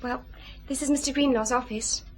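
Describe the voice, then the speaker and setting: An actress playing a hesitant, loyal young office worker, halting and earnest in an audio drama. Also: female voice, sits high, fairly even intonation, music in the background. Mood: anxious, earnest. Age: young adult. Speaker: actress in a radio detective drama.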